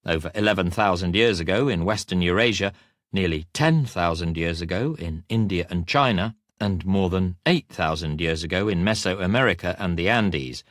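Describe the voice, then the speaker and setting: A man reading a nonfiction audiobook in measured, deliberate phrases, leaning on each item of a list. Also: deep voice, no echo, studio quality. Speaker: audiobook narrator. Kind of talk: scripted nonfiction audiobook narration. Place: recording studio.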